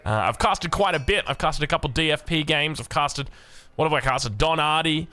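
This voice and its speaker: A young Australian man casting a video game match, energetic and excitable, his voice a little hoarse from a long day of commentary. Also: normal speed. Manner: excitable, energetic, big pitch swings. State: slightly hoarse. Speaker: game caster.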